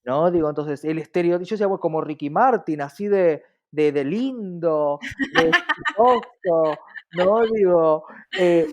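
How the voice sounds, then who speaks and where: A woman's voice: fairly low pitch, lively intonation, a little slow, few pauses, dull audio. A woman with an Argentine accent explaining things conversationally as an interview guest, reflective, often checking in with rhetorical questions.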